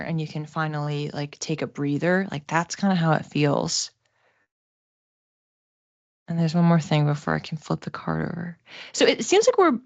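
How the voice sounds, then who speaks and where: A woman hosting an oracle card reading in a casual, halting, conversational way, gently reassuring as she improvises. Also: deep voice, no room sound. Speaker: host giving psychic oracle card readings. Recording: dull, muffled lo-fi sound.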